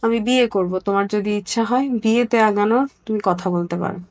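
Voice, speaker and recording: A woman's voice: mid-range voice, a little fast. A woman with a Bangladeshi accent answering interview questions in a candid, reflective, conversational way, repeating phrases for emphasis. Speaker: interview guest.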